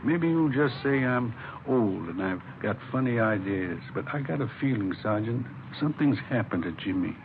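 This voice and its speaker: A gravelly, plaintive old grandfather acted by a man, anxious and halting, from a vintage radio play. His voice sits at a middle pitch on a tinny, narrow recording. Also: expressive, background noise, close to an everyday voice. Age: 60s. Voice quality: creaky, gravelly. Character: worried, ailing 68-year-old grandfather of missing boy. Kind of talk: 1950s radio drama acting.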